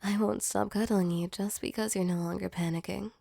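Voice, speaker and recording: A woman voicing a gentle, protective female demon in a soft, soothing ASMR comfort roleplay. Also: mid-range voice, fairly even intonation, brisk, creaky, no echo, studio quality. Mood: tender, teasing. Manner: soothing, tender, reassuring.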